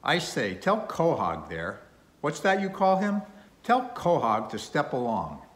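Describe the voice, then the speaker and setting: Guy doing a gruff blustery old whaling captain for a sea novel, really animated, bit roomy. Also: fairly high pitch, unhurried, voice pushed up. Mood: boisterous, impressed.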